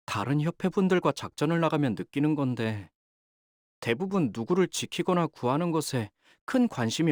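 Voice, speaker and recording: A man playing an earnest, dutiful young fixer in a video game, polite and soft-spoken but easily flustered. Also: fairly high pitch, no echo, clean studio sound. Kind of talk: expressive game character voice lines.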